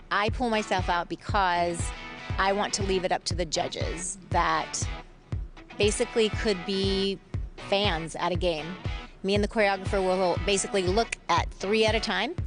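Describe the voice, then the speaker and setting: A woman directing the dance team speaks frankly and matter-of-factly, her voice somewhat low. Music plays clearly behind the interview. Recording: music playing under speech.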